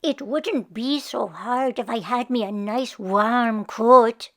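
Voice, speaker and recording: Storybook pooka, male servant spirit, played by a woman: weary, plaintive, very slow, high and bright.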